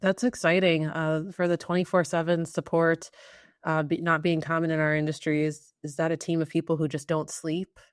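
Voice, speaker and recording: Low-voiced female podcast host, dry sound. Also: level tone, moderate pace, smooth, dry recording. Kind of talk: casual interview chat.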